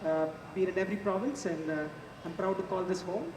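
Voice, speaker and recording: A man in his forties with an Indian accent, a chef giving a casual live cooking demonstration in a chatty, halting way. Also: sits high, a crowd in the background. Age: 40s. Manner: chatty, informal, halting delivery. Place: large echoey event space before an audience. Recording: echoey, noisy public hall, distant mic.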